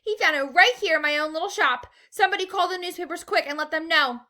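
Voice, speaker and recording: Woman doing a frantic shouting shopkeeper. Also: extremely high pitch, fast talker, voice pushed up. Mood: ecstatic yelling. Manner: yelling, frantic. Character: excitable fat middle-aged male shopkeeper.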